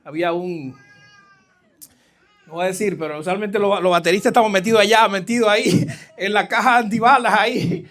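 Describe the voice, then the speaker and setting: High-voiced Caribbean man preaching. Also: fairly bright tone. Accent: Caribbean Spanish. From New Jersey, of Caribbean family. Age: middle-aged. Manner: halting, conversational, addresses the congregation directly. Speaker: pastor, guest preacher. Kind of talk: live sermon, personal testimony. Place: church, speaking to a congregation.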